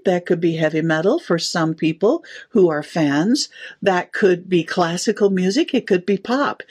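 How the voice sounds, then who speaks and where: A woman's voice: mid-range voice, a little mellow, breathy edge. A middle-aged woman, a sound therapist, explaining her work in an interview with enthusiasm and easy laughter. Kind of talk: interview answers.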